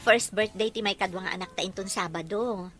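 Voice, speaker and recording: A woman playing a young Ilocano mother carrying grief in a family audio drama, earnest and soft-hearted. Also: mid-range voice, a little fast, faint music behind, lightly acted. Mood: hopeful, planning.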